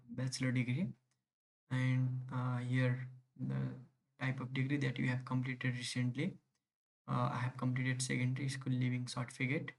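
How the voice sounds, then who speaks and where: A man's voice: medium pitch, vocal fry, low-quality recording. A young man from Nepal, a native Nepali speaker, talks in English with a Nepali accent, walking through an online application step by step with many fillers and restarts. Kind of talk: screen-recorded tutorial.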